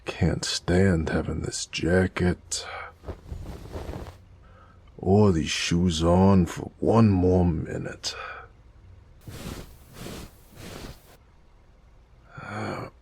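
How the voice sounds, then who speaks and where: A man playing a very deep, gravelly noir mobster. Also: steady tone, extremely slow, long pauses, darkish tone, a little hiss or hum, full character voice. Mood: tired, relieved. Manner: slangy, drawling, sighing, teasing. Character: big tired noir mobster, flirty, affectionate, wisecracking. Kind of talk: intimate roleplay audio drama, 1940s gangster slang.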